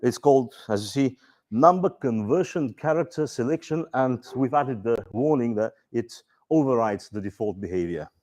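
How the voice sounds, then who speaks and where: Bulgarian-accented man presenting software. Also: medium pitch, moderate pace. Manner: relaxed, dry humor, frequent restarts and fillers. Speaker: presenter at a software user conference. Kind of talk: conference presentation.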